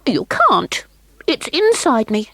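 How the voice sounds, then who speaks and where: A woman putting on a proud little girl with a cold heart, prim and grudging. The voice sits high and bounces with lively pitch, recorded close and dry. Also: slow pace, dry recording, heavily acted. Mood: indignant.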